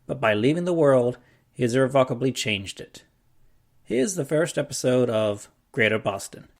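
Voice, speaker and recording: Podcast guy reading the intro, straightforward, normal pitch. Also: animated, moderate pace. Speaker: podcast host. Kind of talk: scripted show introduction.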